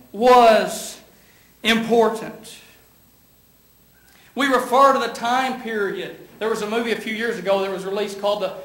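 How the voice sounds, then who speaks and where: A man's voice: very high voice, fairly bright tone. A young man with a Kentucky accent preaching a sermon in a folksy, conversational way. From western Kentucky, United States. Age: young adult. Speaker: student preacher. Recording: echoey room.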